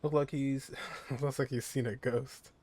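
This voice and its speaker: A man's voice: medium pitch, fairly even intonation, unhurried, a little mellow. A man giving casual gameplay commentary, offhand and half muttering to himself. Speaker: gaming streamer. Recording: faint game music behind.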